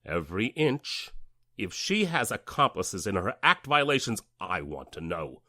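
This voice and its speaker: An actor playing a ruthless official in an audio drama, giving clipped, authoritative orders. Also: male voice, on the low side, sing-song, unhurried, pushed and bright, no echo, clean studio sound, exaggerated voice. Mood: stern, commanding.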